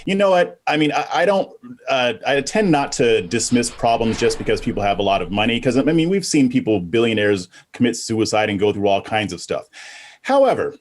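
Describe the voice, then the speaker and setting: A man's voice: medium pitch, expressive, brisk. A man giving casual opinions as a panelist, dismissive and joking, often stumbling into restarts.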